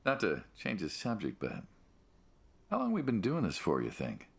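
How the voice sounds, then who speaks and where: An actor playing an aging American mob man in a video game, folksy and casual but quietly menacing, probing an old friend. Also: lowish voice, wildly swooping pitch, normal speed, exaggerated voice. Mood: friendly, nostalgic. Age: older. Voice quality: gravelly, husky. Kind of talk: naturalistic video game dialogue.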